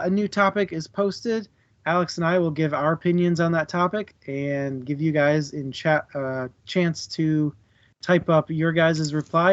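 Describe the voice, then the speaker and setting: Man, fairly high voice, informal livestream host, jokey and halting, slightly dull lo-fi sound. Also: no echo. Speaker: co-host of a book club livestream. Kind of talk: casual chat to a live audience.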